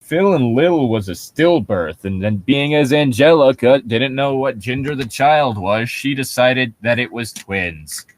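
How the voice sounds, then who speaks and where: A man's voice: mid-range voice, unhurried, few pauses. A man co-hosting a comedy show, bantering casually and mockingly reading internet stories aloud in a sarcastic, sweary way.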